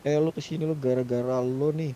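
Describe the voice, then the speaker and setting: Mellow, muffled man in game chat. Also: medium pitch, steady tone, fairly quick. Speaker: gamer in a roleplay crew. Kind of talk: casual gaming group chat. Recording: faint noise, muffled gaming voice chat.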